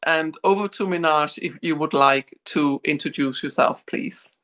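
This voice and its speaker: A woman's voice: deep voice, normal speed, a bit bright. A woman moderating a panel, politely thanking speakers and handing over. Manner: polite, gracious hand-offs.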